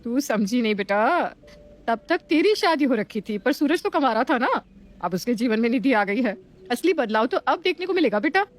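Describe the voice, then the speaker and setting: A woman's voice: a little high, lively intonation, moderate pace, lightly acted. A woman playing a meddling older neighbourhood auntie in a family story, with a taunting, drawling manner. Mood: mocking. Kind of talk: scripted family story acting.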